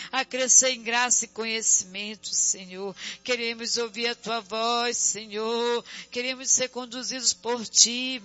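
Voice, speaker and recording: A man from Brazil leading a fervent spoken prayer in rhythmic repeated invocations, his voice dropping low and soft on each call to the Lord. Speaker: prayer leader. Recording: dull lo-fi recording.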